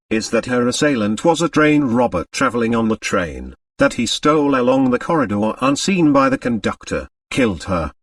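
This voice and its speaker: A man voicing a brisk, methodical French police commissary in an audiobook, official and reassuring. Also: mid-range voice, gravelly, fairly bright tone, no echo, clean studio sound, far from the mic, exaggerated voice.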